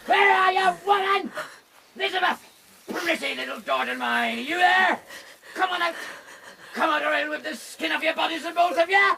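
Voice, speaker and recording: A man's voice: very high-pitched, normal speed, gravelly, bright, forceful tone, far from the mic, slight background noise, exaggerated voice. An actor in a radio drama playing a brutal, drunken sea captain who bullies his family with taunts and threats. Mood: menacing shouting. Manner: menacing, bellowing, taunting.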